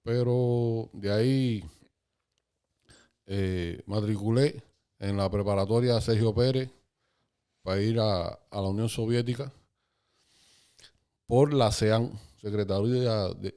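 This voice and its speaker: A Cuban man in his fifties, a podcast guest calmly recalling his life story in casual conversation. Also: on the low side, smooth. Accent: Cuban Spanish. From Cuba. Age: 50s. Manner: calm, unhurried, recalling dates and places.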